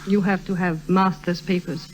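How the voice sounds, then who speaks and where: An actress playing an imperious, alluring European baroness in an old-time radio drama, speaking formally and coolly. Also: female voice, fairly low pitch, little pitch movement, normal speed, natural voice. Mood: cool, teasing. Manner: formal, commanding, cool. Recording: noisy vintage radio recording.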